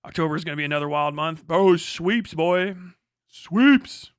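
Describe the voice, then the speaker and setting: A man's voice: on the high side, moderate pace, clean tone, dry recording, studio quality. A man hosting a casual livestream, giving blunt, profane, slangy political commentary and talking back to chat. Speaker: livestream host.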